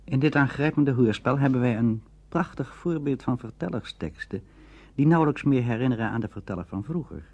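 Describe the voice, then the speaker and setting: Husky, animated male documentary narrator. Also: medium pitch, fairly quick, no echo, muffled recording. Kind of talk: scripted radio documentary narration.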